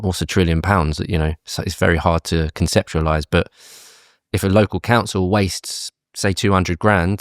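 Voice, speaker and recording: A man from the UK, a campaign group chief, explaining tax and spending in a measured interview manner with fillers and restarts. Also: sits low, dry recording, studio quality. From United Kingdom. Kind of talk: conversational policy interview.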